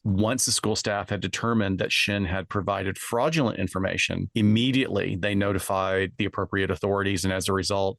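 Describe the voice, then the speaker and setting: A man co-hosting a true crime podcast in a measured, conversational way, part reading and part commenting. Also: low voice, gravelly, a bit bright, dry recording, studio quality. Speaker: podcast co-host. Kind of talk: true crime podcast talk, partly read from notes.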